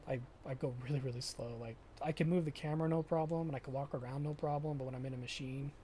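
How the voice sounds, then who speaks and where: A young man chatting casually with viewers during a live stream of a farming game, often pausing and restarting his sentences. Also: mid-range voice, fairly even intonation, dark tone, a little hiss or hum. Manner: halting, self-correcting, chatty with viewers.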